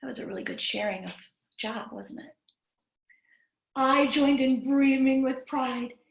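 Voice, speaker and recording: A woman reading a storybook aloud to young children in an animated, expressive way, breaking off to chat with them. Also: high-pitched, a little slow, gravelly. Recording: roomy, echoing livestream sound.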